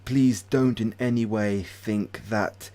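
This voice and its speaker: A British man hosting a casual livestream, chatting hesitantly with many pauses and restarts. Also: fairly low pitch, steady tone, mellow. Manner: hesitant, lots of ums and restarts, friendly. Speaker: ASMR channel host.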